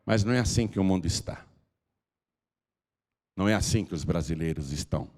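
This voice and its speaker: A man, preaching an altar call as pastor, moving between low confiding talk and loud, drawn-out exhortation. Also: animated. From Brazil. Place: large church hall. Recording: long hall reverb.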